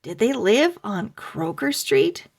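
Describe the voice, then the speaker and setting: A woman voicing an excited, playful little boy. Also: medium pitch, slow, creaky, heavily acted.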